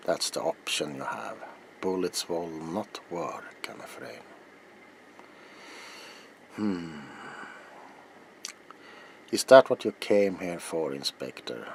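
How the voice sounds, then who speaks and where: Older male vampire hunter , acted by a man, very low and slow, grave and ominous, dramatic ASMR roleplay delivery that sinks into whispers. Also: lively intonation, a little hiss or hum, heavily acted. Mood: grave, brooding. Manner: deliberate, dramatic, dropping into breathy whispers. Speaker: character performer in roleplay.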